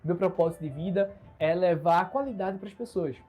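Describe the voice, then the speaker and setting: A man's voice: high voice, a little fast, dark tone, soft background music. A man in his twenties with a Recife accent, chatting casually and earnestly to his audience in a solo livestream from his bedroom. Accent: Recife Brazilian Portuguese. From Recife, Brazil. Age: 20s. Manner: earnest, upbeat, self-assured, gestures a lot. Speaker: entrepreneur hosting his own channel. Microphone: smartphone camera.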